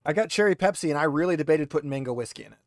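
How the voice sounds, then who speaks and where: A man's voice: fairly high pitch, animated, rapid-fire, dry recording, studio quality. A man casually running an online tabletop roleplaying game as game master, with a teasing, joking manner.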